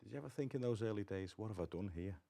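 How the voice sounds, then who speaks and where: A man's voice: fairly low pitch, very fast, creaky, warm tone. A man hosting a football club show, presenting and interviewing in a casual, conversational style.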